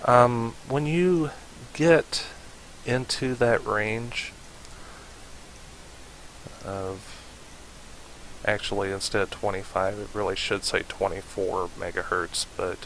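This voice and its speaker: Male tutorial host, informal and unscripted, with a fry-edged low register and light background hiss. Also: slow, pauses often, a bit bright, poor audio. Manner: informal, thinking aloud while demonstrating. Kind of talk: casual software tutorial narration. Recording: hissy home recording.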